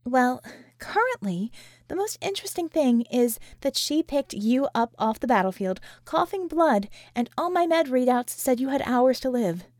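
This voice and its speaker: A woman playing a sardonic, sharp-tongued, weary woman with a wry, emphatic manner in an audiobook. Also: high voice, sing-song, normal speed, no echo, clean studio sound. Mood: earnest, explaining.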